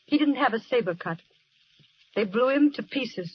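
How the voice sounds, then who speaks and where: An actress playing a young nurse in love and grieving, wistful and earnest, in 1930s radio drama style. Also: female voice, fairly high pitch, animated, moderate pace, slight background noise, close to an everyday voice. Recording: dull vintage radio recording, music behind.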